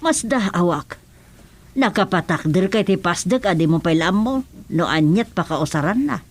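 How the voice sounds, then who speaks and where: A woman's voice: on the low side, lively intonation, normal speed. An actress playing a chatty wife who admires and advises her husband in an Ilocano radio drama-comedy, with an Ilocano accent. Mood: concerned, chatty.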